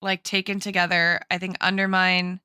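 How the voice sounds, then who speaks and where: A woman's voice: on the low side, completely flat delivery, moderate pace, pushed and bright, smooth, no echo, studio quality. A woman co-hosting a podcast in casual intellectual discussion, mixing academic terms with informal, "like"-peppered talk. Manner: informal, academic, frequent "like" and "right?". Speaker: podcast co-host and guest.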